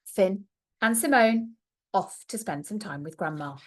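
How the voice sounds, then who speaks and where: Slow, expressive woman reading a storybook. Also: medium pitch. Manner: expressive, with questions and asides to young listeners. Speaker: primary school teacher reading aloud. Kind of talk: storybook read-aloud for young children.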